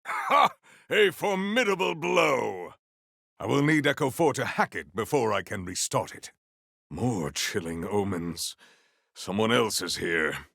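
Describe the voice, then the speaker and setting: A man's voice: lowish voice, very animated, creaky, fairly bright tone, dry recording, studio quality, full character voice. A man voicing a stern, formal warrior in a video game, proud and battle-hungry, with grave and eloquent diction. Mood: roaring, fierce, then grave, composed. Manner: formal, measured, ceremonious diction, few contractions. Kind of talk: video game character voice lines.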